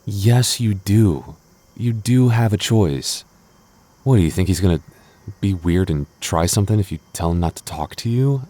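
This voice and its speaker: A man's voice: sits low, lively intonation, normal speed, dark tone. A man playing a jealous, protective young boyfriend in an intimate audio roleplay, defensive and stammering but loving. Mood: annoyed, possessive. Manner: stammering, defensive, teasing asides.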